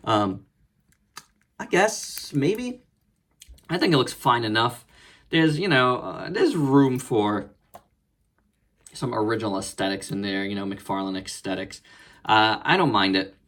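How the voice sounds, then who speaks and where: A man's voice: on the low side, lively intonation, a bit bright. Casual man thinking aloud in a hands-on review. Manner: halting, thinking aloud in short clipped phrases. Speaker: toy reviewer and channel host. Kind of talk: casual, unscripted hands-on product review commentary.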